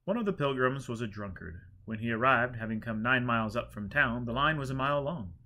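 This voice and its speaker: Guy reading an audiobook story, mid pitched voice, expressive storyteller delivery. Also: fairly quick. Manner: expressive, acts out characters' dialogue. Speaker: audiobook reader. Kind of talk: scripted storybook narration.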